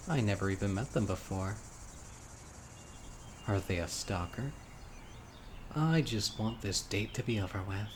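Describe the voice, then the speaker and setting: Low-voiced, wistful ailing young man acted out. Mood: mock exasperated. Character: critically ill young man, wistful, obsessive yandere witch. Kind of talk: intimate scripted audio roleplay monologue. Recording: clear outdoor insect and bird noise. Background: birdsong, crickets and insects of a lakeside.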